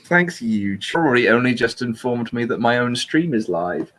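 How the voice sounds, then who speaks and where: A man's voice: medium pitch, sing-song, normal speed, fairly bright tone. A man hosting a casual livestream chat in a blunt, jokey way. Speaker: livestream host.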